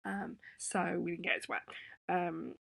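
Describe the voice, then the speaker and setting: A woman in her thirties with an English accent, hoarse from a sore throat, gently teaching young children over a webcam with many ums. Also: fairly low pitch, steady tone, a little slow, dry recording. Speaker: primary school teacher. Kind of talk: remote lesson to children. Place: home room indoors. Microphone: laptop webcam.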